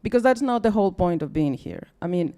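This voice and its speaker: Young Mexican woman, Spanish accent, English second language; tired, chatty, apologetic, mellow voice. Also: on the low side, expressive, a little fast. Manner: chatty and apologetic, with many fillers and restarts. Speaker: guest speaker at a science talk night. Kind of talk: casual, self-deprecating live talk. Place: bar or event room with a live audience.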